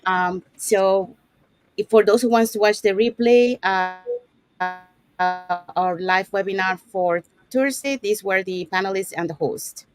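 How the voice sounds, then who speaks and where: A woman's voice: medium pitch, measured pace, soft background music, low-quality recording. A woman from Panama hosting an online business talk show, introducing people with frequent "um" fillers. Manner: many "um" fillers, friendly introductions. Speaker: host.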